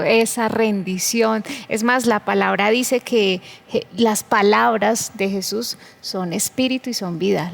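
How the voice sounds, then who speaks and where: A woman's voice: medium pitch, vocal fry. A woman from Colombia with a Colombian Spanish accent, hosting a church conversation program, affirming her guest and often restarting her words. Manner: affirming, stumbles and repeats words. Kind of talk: faith conversation interview.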